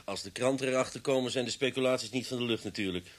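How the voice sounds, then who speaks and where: An actor in a Dutch radio drama playing a sardonic, sceptical police inspector with a dry, reasoning manner. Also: male voice, mid-range voice, fast talker, natural voice.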